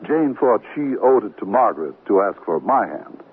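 An actor playing a courteous, chatty gentleman who stammers politely in a 1970s radio comedy. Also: fairly low pitch, big pitch swings, moderate pace. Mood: affable. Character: polite, chatty gentleman named Tom, fond of 'old boy'. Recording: tinny, noisy 1979 radio broadcast.

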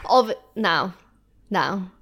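A woman's voice: medium pitch, expressive, drawn-out words, fairly bright tone. A woman co-hosting a podcast, talking in a chatty, informal and opinionated way, as if with a friend. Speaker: podcast co-host. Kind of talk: casual chat between friends.

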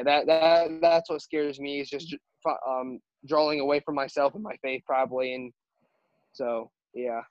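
High-voiced teen quarterback, halting and earnest. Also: male voice, dry recording. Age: teens. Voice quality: high-pitched voice. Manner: halting, filler-heavy, earnest. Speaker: high school quarterback, interview guest. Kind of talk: casual interview answers. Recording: dull, muffled audio.

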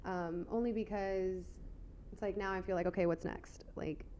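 A woman's voice: mid-range voice, steady tone, moderate pace, warm tone, muffled recording, slight background noise. A young American woman talking as a guest in casual chat, full of "like" and easy laughs. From United States. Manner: chatty, fills with "like", laughs easily. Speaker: guest, figure competitor.

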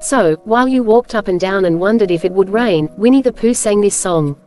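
A woman narrates a children's storybook in a scripted reading. Her voice is of medium pitch, with music playing clearly behind it. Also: fairly quick, darkish tone.

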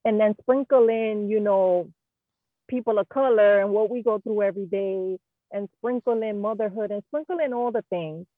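A woman's voice: medium pitch, moderate pace, no echo, phone-quality sound. A woman in her thirties from Queens, a guest interior designer telling candid stories in a casual interview. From Queens, New York. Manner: candid, animated storyteller with slang.

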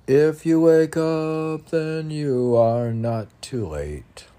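Male poet for meditative verse reading; very unhurried, soft-edged dark tone, halting phrasing with emphatic lifts. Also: a little high, smooth. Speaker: poet reading own work. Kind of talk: recited spiritual poetry reading.

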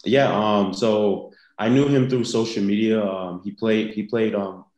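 Very low and even, this young man talks in a relaxed, halting way, pausing often as he answers interview questions. His voice has a roomy echo around it, and his pitch barely moves. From United States. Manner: easygoing, halting, with many pauses. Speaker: guest, football player.

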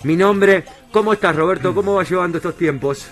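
A man's voice: sits high, fairly quick, a bit bright, soft background music. A man with an Argentine accent hosting a show, presenting and interviewing with a theatrical, emphatic delivery. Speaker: radio or show host.

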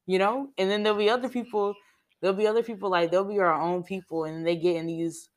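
A woman's voice: medium pitch, fairly even intonation, fast. A young woman speaks bluntly and conversationally in a casual group discussion. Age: young adult.

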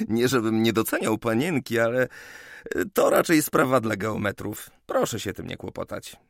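Shy dreamy young surveyor, animated. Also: male voice, medium pitch, normal speed, gravelly, no echo, studio quality. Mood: flustered. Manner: hesitant, modest, courteous. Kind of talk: radio play acting.

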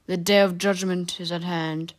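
A woman's voice: fairly low pitch, little pitch movement, normal speed, close to an everyday voice. A woman voicing a bleary elderly seafaring man in an audiobook, plaintive and cranky, with solemn dignity. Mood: solemn, dignified.

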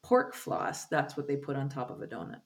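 A woman's voice: very deep voice, very animated, moderate pace. A woman co-hosting a podcast in a casual, chatty style. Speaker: podcast co-host. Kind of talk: casual chat.

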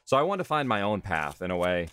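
A man's voice: fairly low pitch, big pitch swings, quick pace, a bit bright, smooth, no room sound, studio quality. A man speaking in a documentary interview as a writer, director and creator of audio drama. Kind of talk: documentary interview about their own work.

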